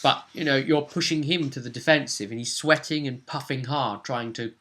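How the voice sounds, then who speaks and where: A man's voice: medium pitch, steady tone, normal speed, fairly bright tone. A man talking casually through a tabletop roleplaying recap as game master, thinking aloud and checking with tag questions.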